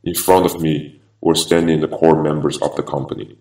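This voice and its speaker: A man voicing a vengeful half-machine former attorney in a grave, deliberate, ominous horror game monologue. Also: very deep voice, little pitch movement, dark tone. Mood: bitter, brooding. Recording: echoey, distant-sounding voice.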